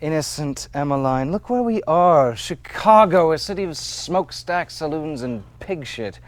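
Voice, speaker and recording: An actor playing a smooth, manipulative Victorian gentleman swindler and killer, coaxing and glib in a theatrical stage delivery. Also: a little high, lively intonation, moderate pace, no room sound, natural voice. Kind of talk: stage play acting, period dialogue. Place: theater stage before an audience.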